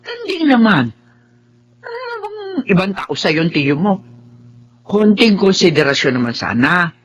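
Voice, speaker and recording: An older Filipino mother in a radio drama, firm yet compassionate. Her intonation rises and falls in a very lively way. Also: lowish voice, a little slow, a little hiss or hum. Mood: pleading, reproachful.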